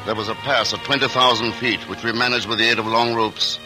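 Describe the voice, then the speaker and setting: An actor playing a composed male traveler who narrates his journey in a 1940s radio drama, measured and reflective. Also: fairly low pitch, steady tone, close to an everyday voice. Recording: old radio broadcast with music.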